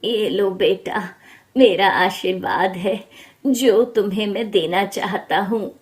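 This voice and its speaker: A woman voicing a kindly, frail old holy man in a storybook tale, speaking softly and gently. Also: medium pitch, close to an everyday voice. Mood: gentle, blessing. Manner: slow, gentle, soft-spoken.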